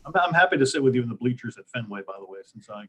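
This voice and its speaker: Male moderator, American accent, average pitch, casual conversational hosting. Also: animated, very fast, a crowd in the background. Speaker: interview host, moderator.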